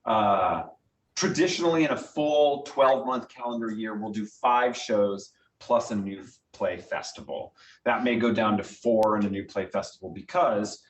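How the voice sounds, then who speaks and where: A man's voice: lowish voice, normal speed. A man speaking as a guest theater director, explaining things informally and answering audience questions in a conversational, filler-dotted way. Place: meeting room with an audience, also on Zoom. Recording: echoey room, distant microphone.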